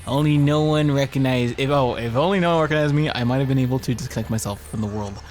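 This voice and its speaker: A man with an average-pitched voice joins a friend's gaming video as a guest. He banters in a jokey, teasing way and at times reads story narration aloud, with music clearly playing behind him. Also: fast talker, a little mellow. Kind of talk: casual joking banter, some read-aloud narration.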